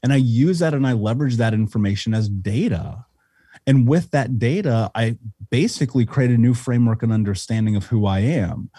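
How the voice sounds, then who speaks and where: A man's voice: medium pitch, lively intonation, a little fast, warm tone, husky, no room sound, studio quality. A man talking as a podcast guest in a blunt, intense and profane way, in casual conversation.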